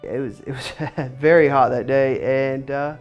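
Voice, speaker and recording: A man's voice: mid-range voice, steady tone, unhurried. A man, the groom, casually recalling his wedding day in a voice-over and laughing now and then. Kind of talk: voice-over recollection. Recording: soft music under the voice.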